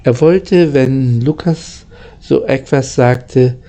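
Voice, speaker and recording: A man reading and talking in a halting way, stumbling over and repeating words, like an amateur storyteller. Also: medium pitch, mellow. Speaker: narrator, channel host. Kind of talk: amateur storybook reading, casual channel outro.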